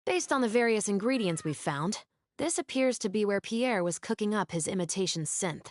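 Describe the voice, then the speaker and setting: An actress playing a brisk, keen young female patrol officer in a video game, speaking in an articulate, confident, analytical way. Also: medium pitch, animated, no echo, clean studio sound, close to an everyday voice. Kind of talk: scripted video game voice acting.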